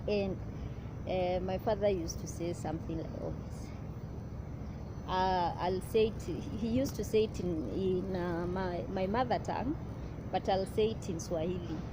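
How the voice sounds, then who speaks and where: A young woman, speaking in an earnest, halting way and often pausing to restart, in a personal vlog. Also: fairly low pitch. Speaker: vlogger. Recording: clear outdoor street noise behind.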